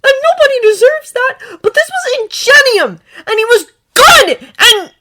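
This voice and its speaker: A woman voicing a distraught teenage boy, a hero student, crying and shouting through his anger. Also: extremely high pitch, a little fast, pitched above the performer's own voice. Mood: tearful anger. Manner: tearful, breaking, shouting outbursts.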